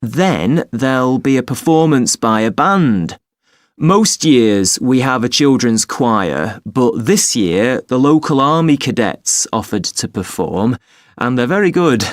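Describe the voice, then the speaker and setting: A man playing a helpful, courteous festival box office clerk in a scripted listening-test dialogue, speaking clearly and informatively. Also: medium pitch, lively intonation, slow pace, no room sound, clean studio sound. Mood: helpful, polite.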